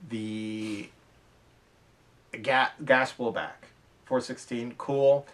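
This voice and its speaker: A man co-hosting a hobby podcast, chatting casually and haltingly about his gear with enthusiasm. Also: medium pitch, fairly even intonation, a bit bright. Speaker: podcast co-host. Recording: distant from the microphone.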